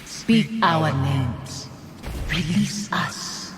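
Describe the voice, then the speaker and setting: A woman playing the reproachful apparition of a commander's lost loved one in a video game, with measured, accusing, poetic delivery. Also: sits low, very noisy. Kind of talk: dramatic video game acting. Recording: dramatic music behind the voice. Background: game music.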